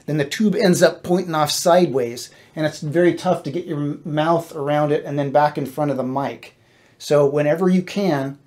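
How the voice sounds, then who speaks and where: A man's voice: on the high side, a little mellow. A middle-aged American man giving casual, wry instructional tips as a gigging guitarist, speaking into a close mic in a small home studio. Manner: informal, wry and self-deprecating. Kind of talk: casual instructional talk to camera. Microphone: close stand-mounted dynamic mic.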